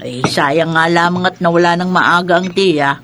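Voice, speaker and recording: A woman's voice: on the low side, fairly even intonation, normal speed, a bit bright, a little hiss or hum, natural voice. A woman playing a feisty, doting old grandmother in a radio drama, folksy and exclamatory. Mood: reminiscing. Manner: folksy, exclamatory, teasing and fussing.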